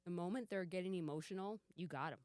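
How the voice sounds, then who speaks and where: A woman in her forties chatting casually on a podcast, an animated storyteller who swears offhandedly and acts out dialogue. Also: fairly low pitch, fast. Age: 40s. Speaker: podcast guest. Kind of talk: casual chat.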